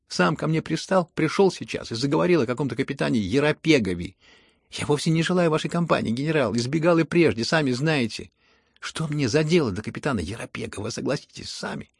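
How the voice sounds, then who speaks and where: A man's voice: mid-range voice, gravelly, husky, no echo, studio quality, full character voice. A man voicing a sickly, aggrieved young man in an audiobook, protesting in a mocking, sneering way. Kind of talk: dramatized audiobook reading.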